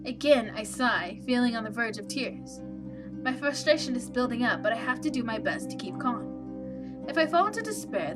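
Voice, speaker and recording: Anxious lost protagonist in a high voice, read by a woman. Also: close to an everyday voice. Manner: measured, subdued, stumbles over some words. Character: anxious lost young protagonist of a horror visual novel. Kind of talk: reading visual novel text aloud.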